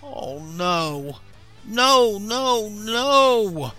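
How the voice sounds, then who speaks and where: Man doing a high, panicky, whiny guy. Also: very animated, very slow, pushed and bright, soft background music, full character voice. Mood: panicked shock. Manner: whining, frantic exclamations. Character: panicky, whiny ordinary young guy in horror story.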